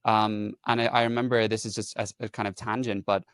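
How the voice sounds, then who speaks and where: Young male podcast host, slightly low voice, wry asides, dry close sound. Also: level tone, a little fast, smooth, dry recording. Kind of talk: casual conversation.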